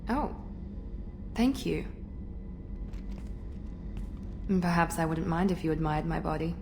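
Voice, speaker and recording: A woman's voice: low-pitched, normal speed, vocal fry, a little mellow, soft background music. A woman playing a proud, cool elite operative in a video game, frank and composed. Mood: softened. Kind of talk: video game character acting.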